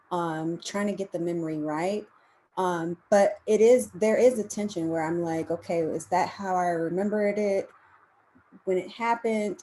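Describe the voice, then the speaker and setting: A woman's voice: lowish voice, warm tone, low-quality recording. A woman who is a writer answering casually and reflectively on a panel, hesitant and circling back over her thoughts. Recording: faint background noise.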